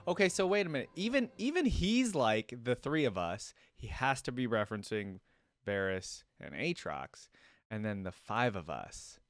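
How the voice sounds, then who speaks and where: A man's voice: mid-range voice, sing-song, moderate pace, no room sound, clean studio sound. A man hosting a reaction video, commenting casually, musing aloud and putting questions to his viewers.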